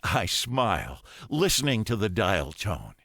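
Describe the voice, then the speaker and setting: A man reading a first-person story as an audiobook narrator, deliberate and dramatic, pausing between phrases. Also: lowish voice, wildly swooping pitch, gravelly, fairly bright tone, husky, no room sound, studio quality.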